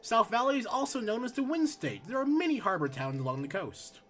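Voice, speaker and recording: Hoarse man with a lively high voice. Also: a little fast, faint music behind. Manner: reads on-screen text aloud, relaxed asides. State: losing his voice, hoarse. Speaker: let's play host. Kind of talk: casual gameplay commentary.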